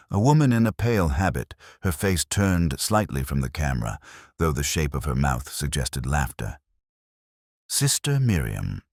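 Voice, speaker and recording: Very deep-voiced man narrating a detective mystery. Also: dry recording, studio quality. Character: pensive, wry male police detective telling his story. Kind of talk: scripted first-person literary mystery narration.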